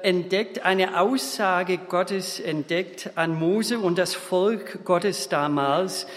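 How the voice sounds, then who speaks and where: A man in his fifties from Minnesota with an American accent, preaching a sermon in a halting way, with restarts and small slips. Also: sits high, fairly bright tone. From Minnesota, USA. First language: English. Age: 50s. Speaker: guest preacher. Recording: long hall reverb.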